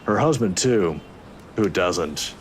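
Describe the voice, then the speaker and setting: A woman voicing a kindly old male professor, courteous and gently teasing, for a visual novel. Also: rumbling low, expressive, slow pace, a little hiss or hum, pitched below the performer's own voice, full character voice. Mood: gentle, advising.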